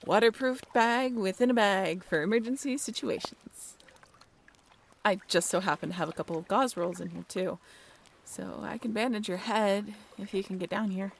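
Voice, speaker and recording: An actress plays a young half-mermaid woman in an intimate audio roleplay. Her voice sits at a middle pitch with plenty of creak, and she talks casually and haltingly, wry and a little bashful. Faint watery ambience runs behind her. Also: slight background noise, lightly acted. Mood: practical, modest. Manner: casual, halting, softly self-deprecating. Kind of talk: intimate audio roleplay, one-sided conversation.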